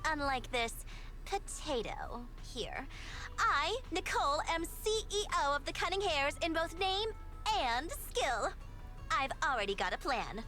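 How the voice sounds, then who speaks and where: A woman's voice: sits high, sing-song, a little slow. A woman voicing a brash, scheming young mercenary leader in an anime-style video game, boastful and teasing with theatrical delivery. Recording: music behind the voice. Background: background music.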